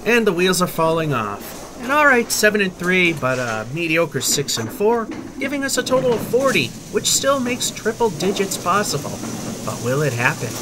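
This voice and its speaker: A man's voice: high-pitched, very animated, normal speed, noisy background. A man announcing scores and recaps as narrator of a bowling show. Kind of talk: scripted recap announcing over music.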